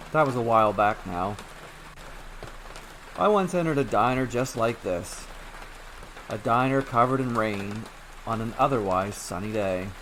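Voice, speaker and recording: A man reading as a calm, low-voiced wanderer. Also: a little hiss or hum, natural voice. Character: calm weary male traveller, cursed wanderer.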